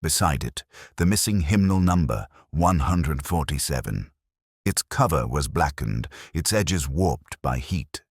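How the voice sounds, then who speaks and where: An actor playing a melancholy, wry middle-aged police inspector, narrating a detective story in a measured, literary manner with deliberate pauses. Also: male voice, rumbling low, expressive, slightly rough, no room sound, clean studio sound, heavily acted. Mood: brooding, reflective. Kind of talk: scripted first-person detective narration.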